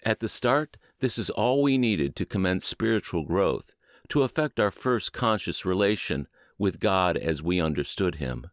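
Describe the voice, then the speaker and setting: Low-voiced man reading an audiobook expressively. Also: expressive, measured pace, dry recording, clean studio sound. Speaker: audiobook and podcast reader. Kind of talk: scripted audiobook narration.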